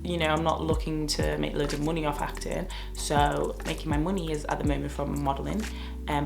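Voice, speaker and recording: Northern english girl in her 20s, low gravelly voice, blunt, music going under her. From north of England. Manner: blunt and outspoken. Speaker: model and vlogger. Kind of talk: casual Q&A vlog.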